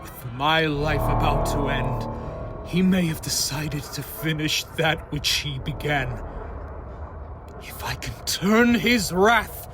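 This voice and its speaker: Man playing noble dying hero, very slow. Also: fairly high pitch. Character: noble dying male cosmic hero, resolute and self-sacrificing. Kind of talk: dramatic comic dub acting.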